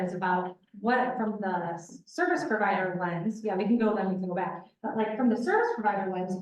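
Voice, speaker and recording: A woman's voice: medium pitch, darkish tone. Woman presenting in a very echoey room. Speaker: training presenter, victim service provider. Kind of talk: informal presentation with audience questions. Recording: very echoey room, distant mic.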